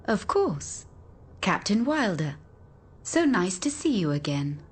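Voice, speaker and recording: An actress playing a serene, courteous and oddly ageless housewife in a radio drama. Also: female voice, mid-range voice, big pitch swings, slow pace, breathy edge, heavily acted. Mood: serenely polite. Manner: placid, formal, courteous. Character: serene, polite, uncannily ageless housewife and mother.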